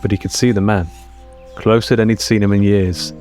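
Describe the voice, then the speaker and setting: A man narrates a fiction story in a fairly low voice. He is measured and deliberate, setting out short dramatic phrases over a music bed. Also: steady tone, a little mellow.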